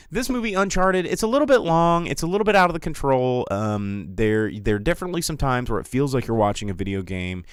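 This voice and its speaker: A man's voice: deep voice, a bit bright, clean tone, no room sound, studio quality. A man hosting a casual, rambling livestream of film chat, with an opinionated, jokey, conversational way of talking. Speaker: livestream host reviewing films.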